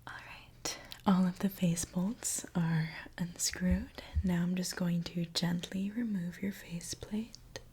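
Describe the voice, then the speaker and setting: A woman whispering softly in an ASMR roleplay as a friendly, caring mechanic, with a gentle, soothing manner. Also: on the low side, fairly even intonation, a little hiss or hum. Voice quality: breathy soft whisper. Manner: gentle, unhurried, soothing reassurance. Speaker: ASMR performer playing a robot mechanic.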